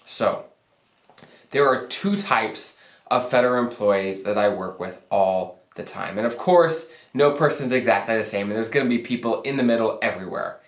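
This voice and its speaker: A young financial planner hosting his channel in an upbeat, conversational way, his voice deepened by a recent cold. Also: moderate pace. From Arizona, US. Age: young adult. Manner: upbeat, conversational, self-joking. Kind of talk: casual advice talk to camera. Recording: echoey room.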